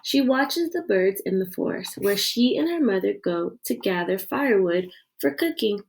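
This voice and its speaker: A woman reading a children's picture book aloud with expressive storytelling delivery. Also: medium pitch, moderate pace, creaky, low-quality recording. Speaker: read-aloud reader.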